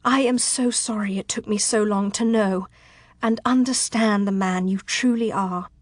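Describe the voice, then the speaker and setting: A woman's voice: mid-range voice, steady tone, unhurried, no echo, studio quality, close to an everyday voice. A woman voicing a proud, passionate medieval noblewoman in an audiobook, declaiming in impassioned, ringing tones. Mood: loving, emotional.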